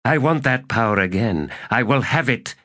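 A man voicing a bitter, ambitious one-eyed male elder god who speaks in a forceful, scornful and commanding way. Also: mid-range voice, animated, normal speed, voice pushed up, natural voice. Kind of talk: audiobook character reading.

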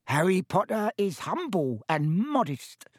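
A tiny male house elf, with a very high, squeaky voice that swings widely. He is servile and anguished, pleading in a strained storybook character style. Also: big pitch swings, a little slow, breathy edge, dry recording, studio quality, heavily acted. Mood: reverent. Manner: pleading, talks of himself in third person. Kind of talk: audiobook character voice.

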